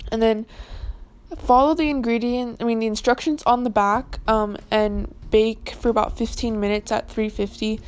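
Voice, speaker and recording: A young woman narrating a voice-over of her baking footage in a casual, even way with a few fillers. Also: on the high side. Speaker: vlogger.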